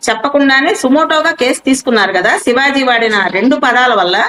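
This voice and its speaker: A female caller with a high voice, heard with a roomy echo. She speaks quickly and earnestly, in a deferential, pleading way, often adding sir. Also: animated, fairly bright tone. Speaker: guest caller on a live show. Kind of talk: earnest opinion on a live call-in.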